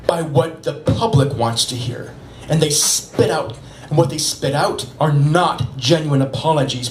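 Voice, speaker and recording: A man reading spoken-word poetry at a live open mic, with an earnest, emphatic and dramatic delivery. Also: fairly high pitch. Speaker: poet and open mic co-host. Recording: roomy, noisy live venue recording.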